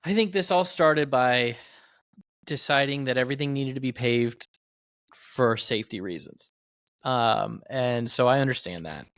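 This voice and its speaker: A man co-hosting a casual motorsport podcast, speaking in a measured, hesitant way as he thinks aloud. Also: mid-range voice, fairly bright tone, no room sound, clean studio sound. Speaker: podcast co-host and professional race car driver.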